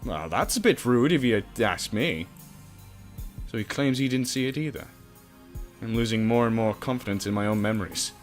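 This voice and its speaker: A man's voice: fairly low pitch, expressive, moderate pace, faint music behind. A young man casually reading a visual novel aloud for a let's play. He jokes, swears and mocks himself as he stumbles over words.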